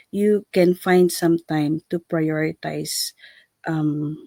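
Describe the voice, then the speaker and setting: A woman's voice: on the low side, gravelly, darkish tone, no room sound. A woman from the Philippines with a Filipino accent, explaining things informally as a co-host. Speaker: co-host, digital business owner.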